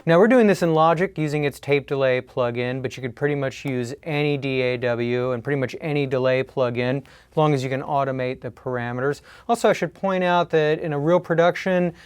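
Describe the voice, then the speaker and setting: A man's voice: medium pitch, a little fast, hardly any pauses, soft background music. An American man in his forties giving plain-spoken, scripted tutorial narration in a studio. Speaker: tutorial host. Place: studio, indoors.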